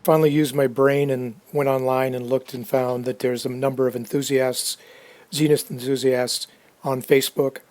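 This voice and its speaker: Guy doing casual how-to narration, mellow dull-edged tone, pretty monotone, kinda halting and thinking out loud. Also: medium pitch. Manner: unhurried, halting, thinking aloud. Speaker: hobbyist narrating a radio restoration video.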